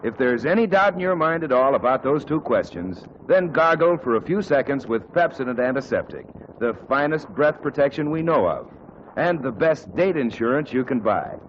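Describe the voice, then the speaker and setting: A middle-aged man announcing a 1940s radio show and reading a commercial in a smooth, persuasive salesman style. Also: mid-range voice, big pitch swings, normal speed, a little hiss or hum. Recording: muffled 1940s radio broadcast with music.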